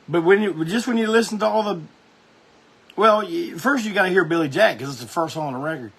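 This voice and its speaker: A man's voice: sits high, a little fast, vocal fry, a bit bright, slightly rough. A man hosting a music show, talking casually and enthusiastically about records, rambling with lots of restarts.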